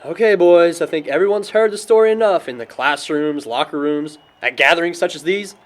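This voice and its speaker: An actor plays an easygoing young guy in a small film drama. His voice is a little high for a man, and he chats casually with dry comebacks for his friends. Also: fairly quick, lightly acted. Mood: mildly annoyed. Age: young adult. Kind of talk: amateur film dialogue, casual banter.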